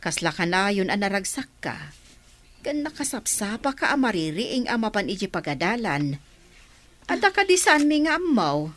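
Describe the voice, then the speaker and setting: A woman's voice: mid-range voice, sing-song, normal speed, a bit bright. An actress playing a caring, indulgent middle-aged mother with a Filipino accent in a radio drama. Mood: curious, teasing.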